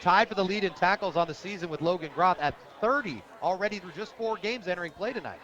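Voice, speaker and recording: An American man with a fairly high voice calls a football game live for radio. His delivery is enthusiastic and descriptive, following the action as it unfolds. The sound is a bit dull and lo-fi, recorded outdoors at the stadium. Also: animated, fast, a bit bright. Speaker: radio play-by-play announcer.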